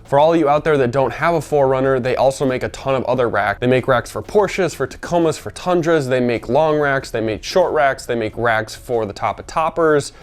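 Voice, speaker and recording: A man with a gravelly voice, sick and under the weather, chatting casually in a product review vlog. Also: mid-range voice, normal speed, few pauses, soft background music. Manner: chatty, rambling with fillers. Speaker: vehicle gear reviewer.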